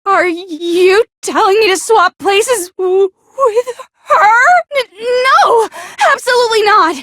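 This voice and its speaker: A woman voicing an anxious, gloomy high school girl in an anime game dub, stammering and accusatory. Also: slow pace, a bit bright, no room sound, clean studio sound, full character voice. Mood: flustered, indignant. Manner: stammering, accusatory, easily flustered.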